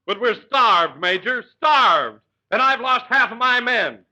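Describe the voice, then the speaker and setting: A man's voice: very high voice, expressive, measured pace, highs cut off. A man playing a plaintive, wisecracking underling in a 1940s radio comedy. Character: plaintive, wisecracking comic soldier and clueless Indian. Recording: noisy 1940 live radio broadcast.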